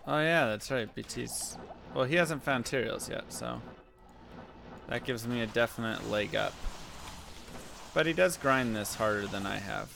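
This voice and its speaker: A man with a deep voice casually talking to chat and muttering to himself while playing a game on a live stream. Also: measured pace, gravelly, bright, forceful tone, slight background noise. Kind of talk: casual gameplay commentary.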